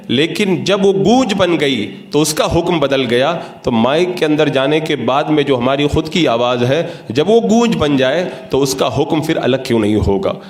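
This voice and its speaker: Male cleric, slightly higher-pitched, formal and didactic religious lecturer, recorded with clear room echo. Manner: didactic, methodical, formal Urdu-laden diction. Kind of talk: religious lecture, explanatory sermon. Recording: roomy, echoing sound.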